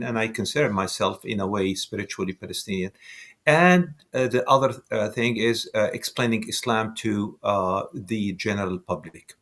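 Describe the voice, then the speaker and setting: A man's voice: lowish voice, a bit bright. A man in his sixties from Syria, a native Arabic speaker with a Syrian accent, answering as an interview guest and often repeating words as he searches for the next. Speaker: interview guest, professor and activist.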